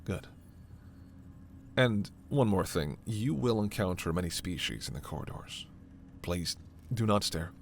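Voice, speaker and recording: A low-pitched alien doctor, acted by a man, speaks with measured, formal authority and gentle, protective care. It is a sci-fi ASMR roleplay, with a steady ambient noise behind the voice. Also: creaky, full character voice. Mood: calm, careful. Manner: measured, formal, reassuring, soft-spoken asides. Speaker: voice performer.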